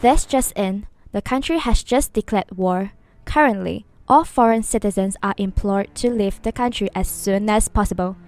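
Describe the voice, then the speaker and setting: A woman playing a composed female news correspondent, formal and measured, in an audio drama. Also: mid-range voice, darkish tone, no echo, close to an everyday voice. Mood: grave, formal.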